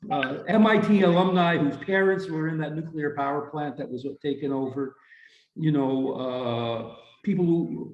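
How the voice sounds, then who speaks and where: A man's voice: a little high, darkish tone. An older man, native Ukrainian speaker, making an informal comment in an online workshop. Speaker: workshop participant. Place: indoor room on a video call. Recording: dull, roomy video-call audio.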